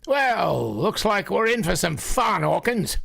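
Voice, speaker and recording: An actor playing a jovial, scoffing farmer neighbour, hearty and dismissive, in a vintage-style radio drama. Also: male voice, high voice, very animated, slow pace, vocal fry, a bit bright, a little hiss or hum, exaggerated voice. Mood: hearty, cocky.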